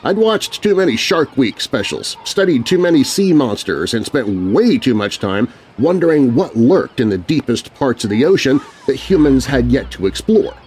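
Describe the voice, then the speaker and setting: A man narrating a scary storybook tale as a shaken, grumbling kid on family vacation, with expressive, dramatic storytelling and deliberate pauses. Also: medium pitch, a little hiss or hum, voice pushed up, close to an everyday voice. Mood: uneasy, sulky. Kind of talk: first-person scripted story narration.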